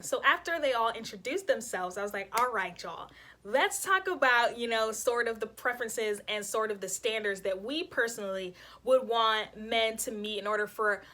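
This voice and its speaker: Bright-voiced young woman vlogger. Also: a little high, moderate pace. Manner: chatty, lots of "you know" and "kind of". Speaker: vlog host. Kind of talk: casual talking-to-camera narration.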